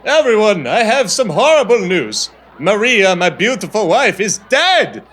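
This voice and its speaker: A man's voice: sits high, very animated, a little slow, a bit bright, a little hiss or hum, exaggerated voice. A man acting a distraught, stammering bereaved husband in an audio drama. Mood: grief-stricken. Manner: stammering, overwrought.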